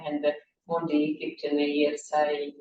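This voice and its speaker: A woman with a Russian accent, a native Russian speaker, talking in halting English in a classroom introduction. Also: low-pitched, monotone, background noise. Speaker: language teacher trainee. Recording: roomy, distant, slightly dull.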